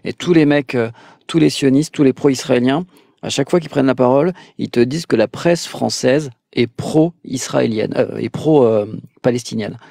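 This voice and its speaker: A man's voice: mid-range voice, normal speed, vocal fry, dark tone, dry recording, clean studio sound. French man hosting a call-in show. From France. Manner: hesitant, many "euh" fillers, sarcastic asides. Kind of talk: casual live call-in chat.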